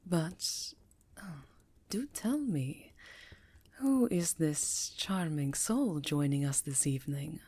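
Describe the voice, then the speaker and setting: A woman's voice: sits low, animated, slow pace, dark tone, husky, exaggerated voice. A woman playing an elegant, aristocratic vampire countess, courteous and darkly charming, speaking in a courtly, genteel way for an ASMR fantasy roleplay. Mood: courtly, charmed.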